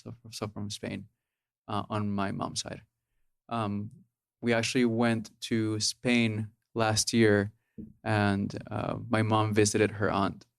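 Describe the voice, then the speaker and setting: A man in his thirties from Peru speaks in a low, gravelly voice as a podcast guest. He talks casually, pausing often with ums and restarting his phrases. The sound is dry and close. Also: no room sound. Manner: hesitant, many ums and restarts. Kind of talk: casual interview.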